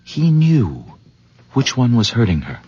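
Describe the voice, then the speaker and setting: An actor playing a polite, excitable village horse owner in a radio drama, husky and halting in his courteous, earnest talk. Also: mid-range voice, heavily acted. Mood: awed, earnest. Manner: hesitant, halting, courteous, effusive. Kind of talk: 1970s radio drama acting. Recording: dull old radio recording.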